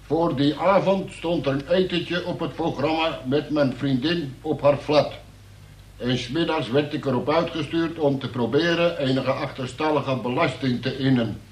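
A man plays a dutiful police sergeant reading out a statement in a radio drama. He is slow and formal, with a fairly high voice, in a roomy space a little away from the mic. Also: lightly acted. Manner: formal, plodding recitation. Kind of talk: radio play acting. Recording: roomy, slightly distant radio recording.

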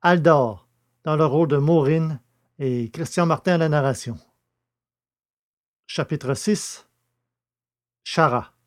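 A man's voice: a little high, slow, long pauses. A man reading a scripted introduction and cast credits for an audio drama as announcer.

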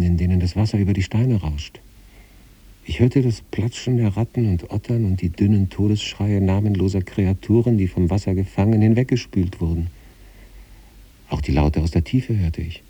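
Male narrator for literary prose, very dark and low timbre, measured, contemplative read. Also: husky. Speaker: narrator reading a literary story. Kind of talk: scripted literary narration in the first person, as letters.

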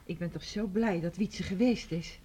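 An actress playing a fussing, easily embarrassed middle-aged village mother in a Dutch radio play, hushing and chiding. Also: fairly low pitch, moderate pace, natural voice. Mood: grateful. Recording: noisy old radio drama recording.

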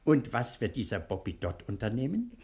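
Kindly older uncle, expressive intonation. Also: male voice, mid-range voice, fairly quick. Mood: fatherly, insistent. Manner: fatherly, decisive, genteel. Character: kindly, lonely, courtly older uncle, a judge. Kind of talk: 1960 German radio play acting.

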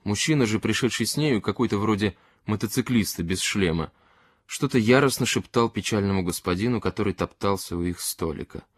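A man's voice: on the low side, brisk, no room sound, clean studio sound. A man narrating a literary short story expressively, as an audiobook reader.

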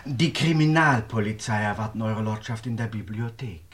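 An actor playing a deferential, very formal English manor servant in a 1950s radio play. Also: male voice, fairly low pitch, normal speed, close to an everyday voice. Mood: apologetic, urgent. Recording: noisy old radio play recording.

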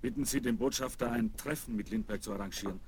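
An actor playing an important, commanding American boss in a German children's audio drama, speaking with brisk authority. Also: male voice, lowish voice, a little mellow, lightly acted. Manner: authoritative, dictating orders. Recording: vinyl rip with faint noise.

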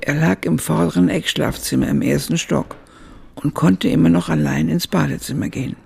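An aged woman narrating her memories in a radio drama. Her voice is heavily creaky and husky, and she speaks in a measured, reflective way. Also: low-pitched, dark tone, exaggerated voice. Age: older, over 70. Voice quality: gravelly, husky. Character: aged woman recalling her teenage summer job.

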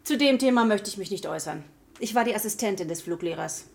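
A curt female assistant in a radio play, her voice mid-pitched. She is stern and matter-of-fact, guarded, with faint noise behind her. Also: expressive, fast, gravelly. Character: curt, suspicious female flight instructor's assistant. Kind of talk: radio drama acting.

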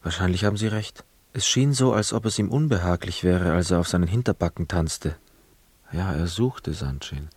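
Deep, mellow, sober narrator. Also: male voice, moderate pace, breathy edge, dry recording. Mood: quiet, reflective. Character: sober, observant first-person narrator, hotel guest. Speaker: narrator and actor. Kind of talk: literary radio drama narration.

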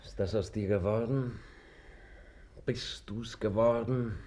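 An actor playing a cynical, evasive lover in a German radio drama, speaking with ironic eloquence in a gravelly voice. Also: male voice, lowish voice, fairly even intonation, slow pace, dark tone. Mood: probing, ironic. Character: world-weary male lover, cynical and evasive.

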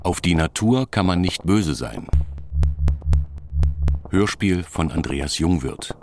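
A man announcing the title of a radio drama in a measured, scripted delivery. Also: low-pitched, expressive. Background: electronic music.

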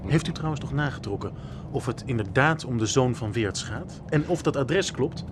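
A man's voice: medium pitch, lively intonation, moderate pace, natural voice. An actor playing an eager, informal younger man, mildly teasing, in a naturalistic radio drama. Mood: eager, polite. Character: eager, easygoing younger man, half of a travelling couple. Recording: noisy airport and vehicle ambience.

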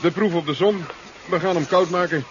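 Actor as musing scientist on hissy old radio. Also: male voice, high voice, normal speed, natural voice. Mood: dryly amused. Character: curious, dryly witty male scientist, keen on experiments. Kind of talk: 1970s Dutch radio drama acting. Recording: dull hissy 1970s radio recording.

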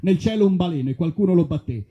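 A man's voice: high voice, animated, quick pace, highs cut off. A man performing theatrical comic storytelling like a mock detective, in a mock-serious way at a live show. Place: live performance at an outdoor festival.

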